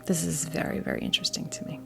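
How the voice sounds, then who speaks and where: A woman in her fifties gives an ASMR tarot reading in a breathy whisper, halting often as she reassures the listener. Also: deep voice, huge pitch swings. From New Jersey, United States. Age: 50s. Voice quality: breathy whisper mixed with soft creaky voice. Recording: music playing behind the voice. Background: music.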